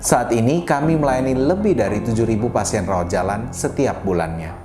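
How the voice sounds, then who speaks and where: A man's voice: medium pitch, quick pace. A man from Indonesia, a doctor and hospital director, delivering a formal scripted corporate presentation. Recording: echoey room with music behind. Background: background music.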